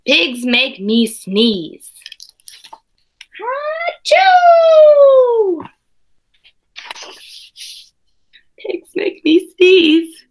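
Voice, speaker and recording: Storybook elephant played by a woman: anxious male, melodramatic, swooping pitch, drawn-out halting words. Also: wildly swooping pitch, full character voice. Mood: worried.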